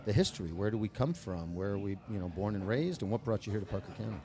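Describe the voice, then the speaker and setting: A man's voice: on the low side, lively intonation, quick pace, mellow. A man from New York hosting a casual podcast interview in a restaurant, chatty and joking with his guest. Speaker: podcast host.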